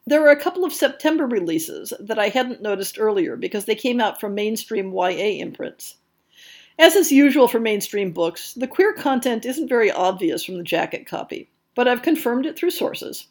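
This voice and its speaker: A woman hosting a podcast, delivering scripted book news in an articulate, measured way with bookish phrasing. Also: on the high side, animated. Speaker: podcast host.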